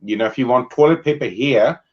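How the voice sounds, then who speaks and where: A man's voice: mid-range voice, brisk, dull audio. Man hosting a casual whisky chat. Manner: relaxed, chatty, uses fillers. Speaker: host.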